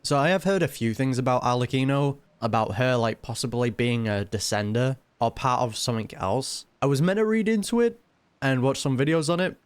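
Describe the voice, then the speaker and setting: A man's voice: mid-range voice, brisk, clear, resonant tone, no echo. A man giving casual, amused live commentary on a video game, talking back to it as he plays. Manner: chatty, amused, answers the game aloud. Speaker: video game reaction streamer. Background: game music.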